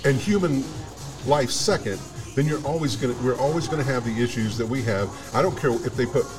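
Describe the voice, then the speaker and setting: A man's voice: mid-range voice, brisk, over music. A man hosting a casual radio talk show, blunt and emphatic, often repeating himself.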